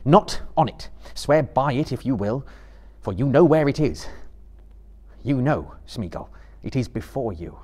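A man's voice: mid-range voice, expressive, unhurried, darkish tone, pitched above the performer's own voice. A man playing a stern, commanding young master in a dramatic book reading, solemn and emphatic. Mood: stern warning.

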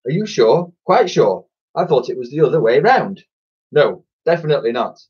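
A man's voice: medium pitch, sing-song, normal speed, slightly rough, highs cut off, voice pushed up. A man voicing a nasty, muddle-headed male twin who runs a toy shop in a children's storybook, theatrical, haughty and snappish. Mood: befuddled bickering.

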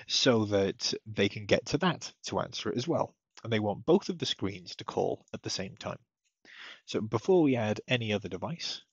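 A man with a fairly low, husky voice walks through software setup as a tutorial presenter. He explains each step methodically. The voice-over sounds slightly muffled. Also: no room sound. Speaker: technical support tutorial presenter.

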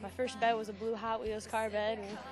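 A young woman dancer answering backstage interview questions haltingly, with plenty of fillers, into a handheld mic. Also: on the high side, level tone. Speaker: dancer in talent show. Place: convention backdrop, handheld mic. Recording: music playing behind.

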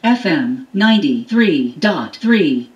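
A woman's voice: low-pitched, sing-song, slow, distant mic, over loud music. A synthetic, stilted female device voice giving short announcements through radio speakers. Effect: played through radio speakers. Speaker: automated voice announcer of a car radio. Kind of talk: clipped spoken device prompts. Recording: dull, echoey speaker playback from afar.

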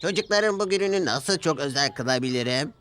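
A man voicing a high, eager little steam engine. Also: fairly quick. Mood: worried. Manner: earnest, polite, excitable. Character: small, brave, anxious male steam locomotive, eager to please. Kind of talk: children's cartoon storybook character voice.

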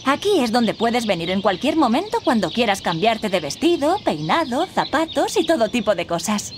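Lively, high-voiced fairy guide. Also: female voice, moderate pace, a little hiss or hum. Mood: encouraging. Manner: encouraging, instructive. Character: kindly female magical fairy guide, patient and encouraging. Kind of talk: scripted game tutorial dialogue.

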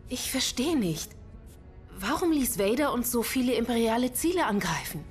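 An actress playing an earnest, wary young starship pilot in a game dub, concerned and sincere. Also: female voice, on the high side, big pitch swings, moderate pace, soft background music. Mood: troubled, grave. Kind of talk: dubbed video game cinematic acting.

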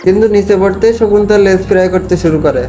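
A man with a high voice races through slangy cartoon narration over clear music. He tells it as a comic story, teasing and exaggerating throughout. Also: fast. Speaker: narrator of funny cartoon recaps.

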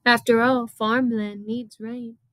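A woman voicing an eerie, calm and commanding adult woman in a storybook, speaking slowly and deliberately. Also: fairly high pitch, no room sound. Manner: slow, deliberate.

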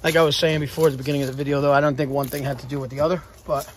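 A man's voice: fairly high pitch, little pitch movement, rapid-fire, slight background noise. A man working as an HVAC technician, talking casually to viewers through a mask while fixing a heating system, swearing now and then. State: wearing a mask. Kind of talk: casual ride-along vlog. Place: homes, boiler room, then a car.

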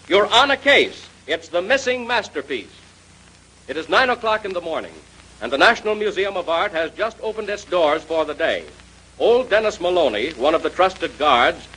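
A man's voice: high-pitched, bright, forceful tone, husky, poor audio. A man with an American accent announcing and narrating in 1930s radio style.

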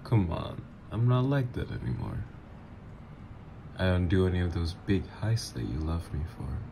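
A man's voice: sits low, sing-song, moderate pace, vocal fry, noisy background, heavily acted. A man voicing a teasing supervillain ex. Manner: teasing, playful, flirtatious. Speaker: voice actor. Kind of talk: ASMR roleplay audio.

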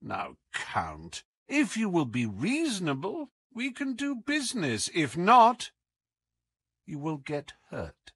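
A man voicing a sharp, commanding detective in an audiobook, coolly taunting, with deliberate, teasing emphasis. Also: a little high, wildly swooping pitch, slightly rough, no room sound, pitched above the performer's own voice, heavily acted. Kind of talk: audiobook character acting.

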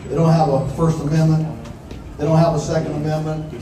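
A man's voice: a little high, completely flat delivery, brisk, noisy background. An older man giving a folksy, earnest public speech on stage. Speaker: keynote speaker. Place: large event hall stage. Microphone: handheld mic through PA. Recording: echoey PA in a large hall from far off.

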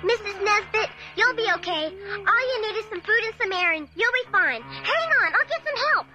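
Earnest, high-pitched young girl. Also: moderate pace. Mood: urgent, reassuring. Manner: earnest, eager, expressive. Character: earnest, excitable young girl, determined and hopeful. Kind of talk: radio drama acting and first-person narration. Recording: radio drama with music underneath.

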